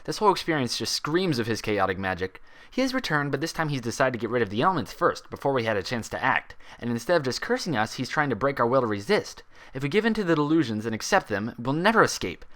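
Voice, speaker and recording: A man's voice: mid-range voice, very animated, fast talker, hardly any pauses, a bit bright, pitched above the performer's own voice. A man voicing an anxious, paranoid young female pony, thinking feverishly, in a storybook reading. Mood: fearful conviction. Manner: hesitant aloud, feverishly theorizing in thought. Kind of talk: storybook character voice in fan fiction reading.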